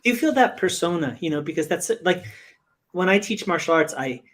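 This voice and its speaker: A man hosting a casual interview, eager, with a fairly high voice, asking long winding questions. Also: animated, brisk, a bit bright. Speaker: host.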